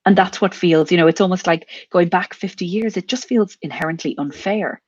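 Irish woman in her forties, interview guest, thoughtful and measured, recorded dry and a bit dull. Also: lowish voice, darkish tone, husky, dry recording. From Ireland. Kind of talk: podcast interview conversation.

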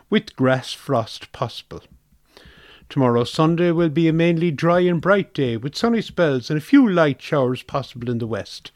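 A man's voice: on the high side, darkish tone, dry recording, clean studio sound. A man reading a scripted weather forecast for radio.

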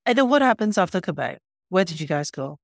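A woman's voice: on the low side, big pitch swings, fast, gravelly, dry recording, studio quality. A woman hosting an intimate podcast interview, attentive and speaking in short questions and reactions. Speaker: podcast host.

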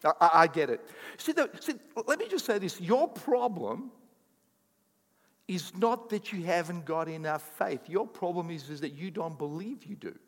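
A man preaching a sermon as pastor, speaking English as a second language, with emphatic rising bursts and quick asides. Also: sits high, animated, long reverb tail. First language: not English.

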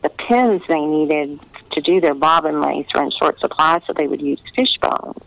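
A woman's voice: sits low, moderate pace, creaky, fairly bright tone, slight background noise, poor audio. A woman novelist chatting casually in an interview, talking in a meandering way with trailing asides.

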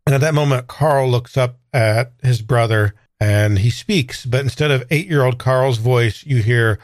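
A man's voice: mid-range voice, measured pace, no room sound. A man improvising descriptive, conversational story narration in a tabletop roleplaying game.

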